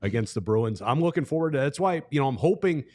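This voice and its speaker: A man who works as a play-by-play broadcaster, talking as a guest analyst in a measured, explanatory, conversational way. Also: medium pitch, expressive, a little mellow.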